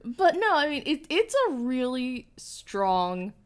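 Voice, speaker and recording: A young woman co-hosting a collectible review at a studio table, frank with her opinions and quick to laugh. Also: high-pitched, big pitch swings. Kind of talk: casual review chat. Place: indoor studio set at a table.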